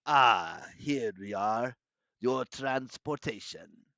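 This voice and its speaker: A man's voice: mid-range voice, lively intonation, pushed and bright, no room sound, dull audio, heavily acted. Man playing a pompous, gloating monster villain. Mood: gloating menace. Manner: pompous, condescending, deliberate villain delivery. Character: menacing, gloating male monster disguised as a schoolmaster.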